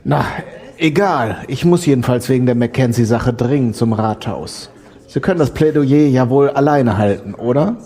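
Man playing a mellow but demanding law boss. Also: medium pitch, moderate pace, natural voice. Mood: pressing, businesslike. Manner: patronising, businesslike. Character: demanding male senior law firm boss. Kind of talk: audio drama acting.

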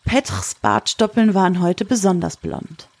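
A woman narrating a scripted story in a measured, literary reading style. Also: on the low side, big pitch swings, a little mellow, no room sound.

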